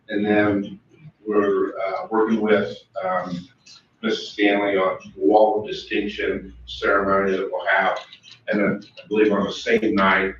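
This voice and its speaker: A man giving a casual, halting report on upcoming events at a school board meeting. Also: lowish voice, fairly bright tone, slight background noise. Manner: casual, halting, lots of fillers. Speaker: booster club speaker. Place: large meeting room. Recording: echoey, dull, distant room recording.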